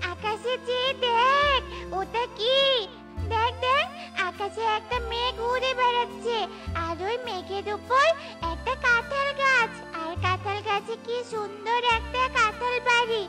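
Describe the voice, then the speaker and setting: Tiny, very high, slow childlike girl bird. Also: loud music behind the voice. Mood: amazed. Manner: childlike, whiny. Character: small young daughter bird, sulky, affectionate, wide-eyed.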